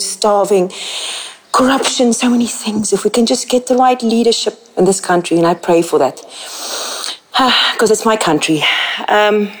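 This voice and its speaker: A woman's voice: mid-range voice, rough recording. A South African woman in her forties with a South African accent, calling in earnestly with a heavy heart to ask for prayer. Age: 40s. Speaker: caller.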